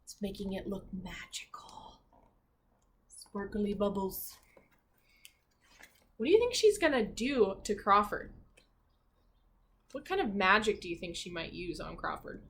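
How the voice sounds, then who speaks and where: A woman reads a picture book to children like a teacher. Her delivery is unhurried and expressive, with lively intonation, and she pauses to comment and question. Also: medium pitch, a little hiss or hum. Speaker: teacher reading aloud. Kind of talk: children's storybook read-aloud.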